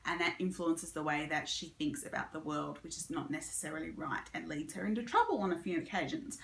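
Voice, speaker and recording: A woman's voice: lowish voice, sharp tone, echoey room. A woman giving a chatty, casual book review as a vlogger. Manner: chatty, conversational.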